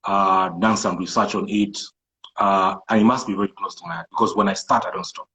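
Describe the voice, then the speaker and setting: A Kenyan-accented man from the coast whose first language is Swahili, speaking in a fairly low voice. He talks in a measured, thoughtful way, often restarting his sentences as he works through his answer. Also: fairly even intonation, bright, forceful tone. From Kenyan coast, Malindi. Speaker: guest entrepreneur. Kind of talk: casual interview talk.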